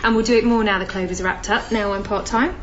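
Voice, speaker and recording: A woman's voice: medium pitch, fairly even intonation, fast, dull audio, lightly acted. Wry young woman played by an actress, noisy recording. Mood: wry, wistful. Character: frustrated, wry young pregnant girlfriend. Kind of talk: naturalistic radio drama acting.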